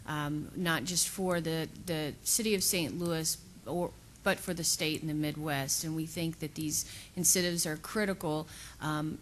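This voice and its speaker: A woman giving formal testimony as a business lobbyist, recorded with noticeable background noise. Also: low voice. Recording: clear background noise, room ambience.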